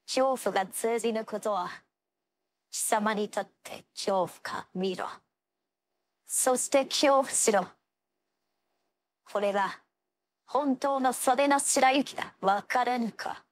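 A woman's voice: on the high side, takes it slowly, long pauses. An actress playing a proud, stern young female sword fighter with ice powers in an anime fighting game, speaking in an archaic, commanding manner. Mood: cold, grave. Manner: archaic, haughty, commanding phrasing.

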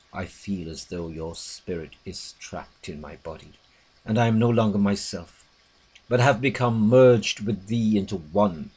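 A man's voice: fairly low pitch, a little mellow, slightly rough, rough recording. A man playing a lovestruck young widowed noblewoman in a theatrical, declamatory Shakespearean soliloquy, yearning and poetic. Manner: declamatory, archaic, romantic delivery.

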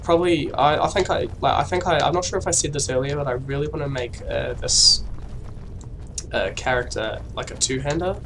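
A young man from New Zealand with a New Zealand accent, commentating a game casually while he has a cold, excitable and sweary with lots of fillers. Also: medium pitch, a little fast, creaky. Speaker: let's play gamer. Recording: distant mic with noisy background.

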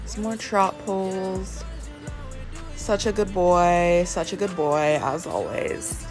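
A woman's voice: fairly low pitch, slow, music in the background. Chatty young woman vlogging from the barn. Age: young adult. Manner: chatty, self-deprecating, upbeat. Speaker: equestrian vlogger. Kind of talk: casual vlog chat and voiceover. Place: indoor riding arena and barn, some outdoors.

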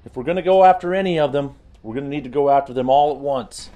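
An actor playing a brisk, authoritative intelligence chief briefing his team in a video game cutscene, businesslike and commanding. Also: medium pitch, mellow, close to an everyday voice.

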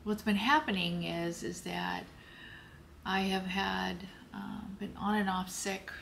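Slow, hoarse woman vlogging at home. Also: medium pitch, fairly even intonation, a bit bright, slight background noise. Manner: slow, halting, searching for words. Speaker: lifestyle vlogger. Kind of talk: personal health story told to camera. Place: home office, indoors.

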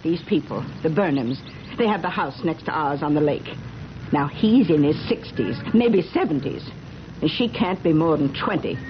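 An actress playing a determined, chatty middle-aged small-town busybody in a 1970s radio drama. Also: female voice, low voice, lively intonation, moderate pace, music in the background. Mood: insistent, suspicious. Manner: chatty, insistent. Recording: muffled, noisy vintage radio broadcast.

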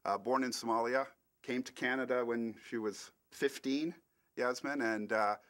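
A man's voice: medium pitch, steady tone, normal speed, fairly bright tone. A man emceeing an event, introducing the next speaker in a hesitant way, with many fillers.

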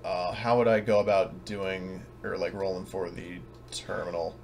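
A man narrating a tabletop game as game master in a casual, halting, self-correcting way. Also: sits low, level tone, a little mellow. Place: at home, online voice session. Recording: clearly noisy home recording.

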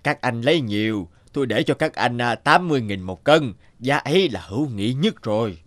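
A man's voice: medium pitch, expressive, moderate pace, a bit bright, dry recording, close to an everyday voice. An actor playing a proud, prejudiced middle-aged chicken farmer in a cartoon folktale, self-important and given to hesitating as he haggles. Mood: boastful.

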